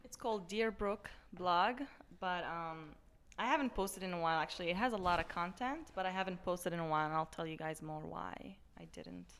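Woman guest from Morocco, bright voice, animated storyteller. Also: on the low side, fairly quick. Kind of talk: casual chat.

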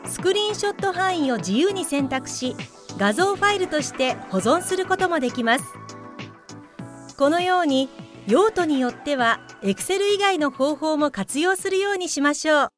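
A woman's voice: sits high, animated, normal speed, music in the background. A woman narrator reading scripted explanatory narration in a tidy instructional way.

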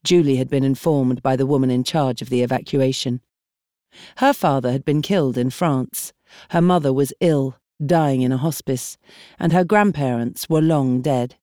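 A woman reading a novel as an audiobook narrator, in measured, expressive storytelling. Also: very deep voice, no room sound, clean studio sound. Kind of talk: scripted literary narration. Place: dry studio.

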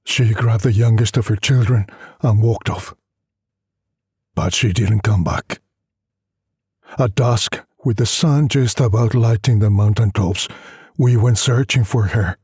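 A man narrating a literary story in a measured, solemn way, with a husky, breathy voice. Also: on the low side, darkish tone, no room sound, studio quality. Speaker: audiobook narrator.

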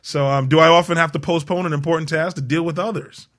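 A man's voice: a little high, fairly even intonation, fast, fairly bright tone. A man co-hosting a casual radio discussion, talking conversationally and putting questions to the listeners. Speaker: talk show co-host.

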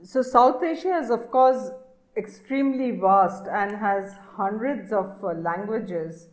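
A woman's voice: medium pitch, slow pace, low-quality recording. A woman hosting an interview, asking questions hesitantly with many uhs. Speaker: interviewer, host.

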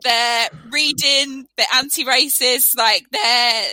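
A young woman co-hosting a podcast, talking in a lively, casual way with many fillers. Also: high voice, slow, no room sound. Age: young adult. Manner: animated, fillers of like. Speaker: podcast co-host. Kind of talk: casual conversation.

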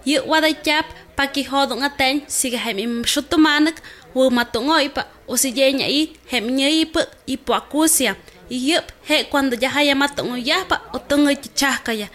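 A woman's voice: high voice, normal speed, a bit bright. A woman playing a doctor who explains things to a new mother in a health radio drama. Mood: reassuring, instructive.

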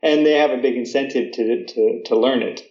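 A man's voice: mid-range voice, brisk, a little mellow, echoey room, dull audio. An American man in his thirties talking casually, with lots of fillers, restarts and "you know". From United States. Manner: chatty, many fillers and restarts. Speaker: guest. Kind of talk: casual conversation.

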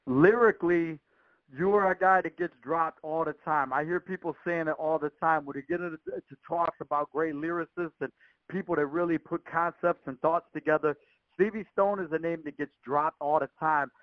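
A man with a fairly high voice hosts a radio interview in a casual, conversational style. The sound is dry and somewhat dull, as on a conference call. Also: normal speed, no room sound. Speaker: radio show host, interviewer. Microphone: phone or call line.

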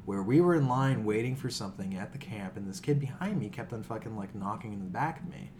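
A man's voice: lowish voice, fast, slight background noise. An American man co-hosting a podcast in casual, comedic chat, telling stories with plenty of swearing. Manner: animated, profane storytelling. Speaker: podcast co-host.

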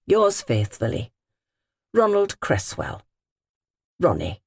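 A woman's voice: extremely low pitch, wildly swooping pitch, slow, gravelly, slightly rough, no echo, studio quality, full character voice. A British woman narrator voicing an earnest, formal young working man reading out his polite letter in an audiobook. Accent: British English.

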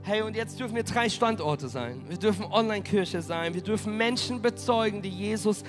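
A man preaching as pastor, energetic and rallying his congregation, with a cold that makes him hoarse. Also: sits high, normal speed, music in the background. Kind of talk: sermon. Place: church hall.

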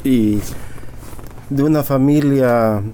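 A middle-aged Bolivian man tells his own story as an interview guest. His voice is mellow and mid-pitched. He speaks haltingly and tags questions onto his phrases. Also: slight background noise. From Sucre, Bolivia. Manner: halting, adds tag questions. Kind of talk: personal testimony, conversational.

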